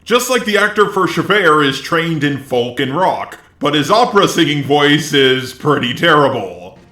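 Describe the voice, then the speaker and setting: A man's voice: a little high, animated, normal speed, bright, forceful tone, reverberant. A man's synthetic robot voice narrating a scripted review with sarcastic, profane asides. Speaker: video reviewer.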